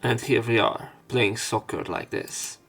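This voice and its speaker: A man's voice: mid-range voice, steady tone, unhurried, vocal fry, a bit bright, slightly rough, faint music behind. A man reading a visual novel's text aloud as a let's play narrator, putting emphasis into the spoken dialogue.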